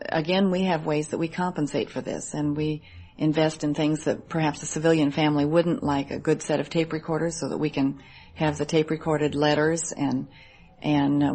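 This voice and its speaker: A woman's voice: low voice, darkish tone. An American woman speaking as a military wife in a thoughtful radio interview.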